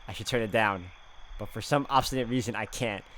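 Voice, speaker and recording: A man's voice: fairly low pitch, brisk, a bit bright, slight background noise. A man playing through a visual novel, reading its narration aloud dramatically and adding casual asides. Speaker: game walkthrough player.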